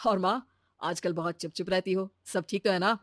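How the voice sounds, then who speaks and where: A woman playing a reasonable, supportive young man, a son and husband, in a cartoon moral story, calm and explanatory. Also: fairly low pitch, normal speed, no echo, clean studio sound, close to an everyday voice. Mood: concerned, reassuring.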